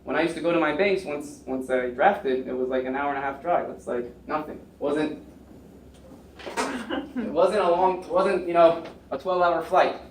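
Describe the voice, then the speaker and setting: A man's voice: on the high side, moderate pace. A young American man rambles through his story at a panel, piling on fillers and restarts. He sounds set back from the mic, in a roomy hall with faint noise behind him. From United States. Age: young adult. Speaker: panel speaker. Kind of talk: casual storytelling talk. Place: lecture room. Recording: echoey room, mic far off, faint noise.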